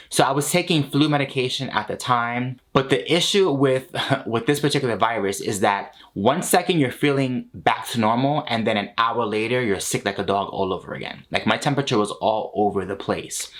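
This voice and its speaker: Animated man telling his story to camera. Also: on the low side. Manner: animated, expressive, laughs between lines. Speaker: vlogger. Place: small home studio room with shelves.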